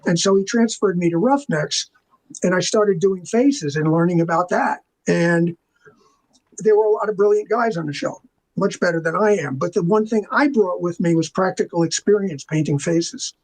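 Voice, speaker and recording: An older man with a noticeably high-pitched voice recounts stories as an interview guest. He talks casually and colloquially, like a veteran of his trade, and acts out both sides of remembered conversations. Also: moderate pace, a little mellow, poor audio. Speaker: interview guest, veteran visual effects artist. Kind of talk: casual anecdotal storytelling in an interview.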